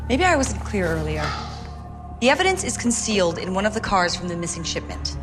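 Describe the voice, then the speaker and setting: An actress playing a cool, adamant undercover operation handler in a video game cutscene. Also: female voice, medium pitch, sing-song, a little fast, natural voice. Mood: firm, insistent. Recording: music clearly behind the voice.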